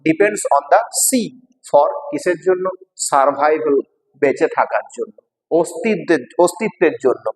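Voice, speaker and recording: A man's voice: a little high, lively intonation, a little slow. A man with an Indian Bengali accent from West Bengal teaching a lesson, repeating and translating phrases in a didactic way. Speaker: English teacher. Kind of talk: bilingual exam lesson, explaining line by line. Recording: long hall-like reverb.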